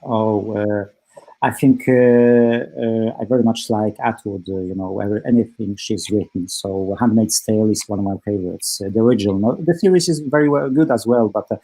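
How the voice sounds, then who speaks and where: A man's voice: on the low side, normal speed, warm tone, low-quality recording. A man from Poland, a film director answering festival questions in English as a second language, hesitant with many fillers. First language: Polish.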